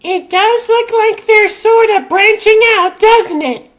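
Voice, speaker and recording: A woman's voice: very high-pitched, takes it slowly, slight background noise. A woman voicing a breezy, confident animal superheroine in a comic dub, theatrical, with music underneath. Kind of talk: comic dub character acting.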